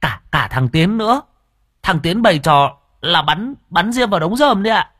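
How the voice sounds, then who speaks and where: Brief: jittery boy snitching, high and stuttering, husky edge, voiced by a male narrator. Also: animated, moderate pace, pushed and bright, dry recording, studio quality, full character voice. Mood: nervous. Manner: stammering, high and pleading. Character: young village boy telling tales, nervous.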